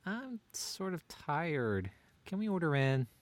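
A man's voice: fairly high pitch, slow pace, no echo. A man playing a long-suffering husband and father who banters drily in a scripted audio comedy drama. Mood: weary. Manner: dry, deadpan, bantering.

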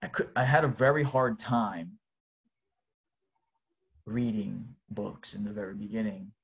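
A man's voice: fairly low pitch, fairly even intonation, a little slow, vocal fry. Chatty man, native Japanese speaker. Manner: informal, exclamatory, says "dang" and "man". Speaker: host. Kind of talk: casual chat to listeners.

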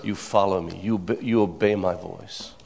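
A man around sixty giving an earnest church testimony, with a gravelly voice and frequent amens. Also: low-pitched, fairly even intonation, moderate pace, darkish tone, a little hiss or hum. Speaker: congregant sharing a testimony. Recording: reverberant hall.

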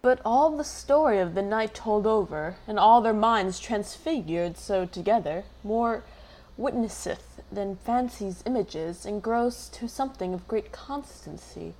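A woman's voice: mid-range voice, lively intonation, unhurried, a little mellow, rough recording. A woman playing a thoughtful noble lady in a Shakespearean radio play.

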